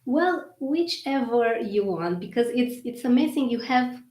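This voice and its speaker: A woman's voice: on the high side, animated, a little slow, a little mellow. A woman hosting a podcast interview, speaking earnestly with effusive praise for her guest. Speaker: podcast host. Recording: echoey room.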